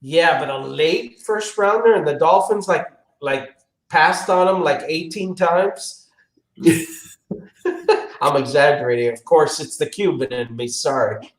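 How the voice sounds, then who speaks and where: A man's voice: fairly high pitch, expressive, unhurried. A man co-hosting a sports podcast, chatting casually in an emphatic, slangy way. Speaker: podcast co-host. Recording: echoey room.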